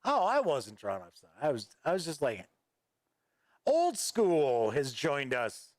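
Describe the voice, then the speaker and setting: A man's voice: a little high, wildly swooping pitch, slow, dry recording, clean studio sound. Rambling man hosting a livestream. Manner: rambling, reads viewer chat aloud and responds offhandedly. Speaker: host of a gaming news livestream. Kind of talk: casual livestream chat. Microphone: studio microphone at a desk.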